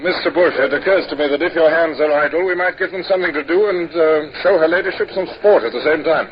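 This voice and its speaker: An actor playing a reserved, courteous middle-aged naval captain, formal and a little hesitant, in an old radio drama. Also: male voice, a little high, quick pace, natural voice. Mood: brisk, courteous. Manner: formal, hesitant when speaking personally. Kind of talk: old-time radio drama acting and narration. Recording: muffled, noisy 1950s radio recording.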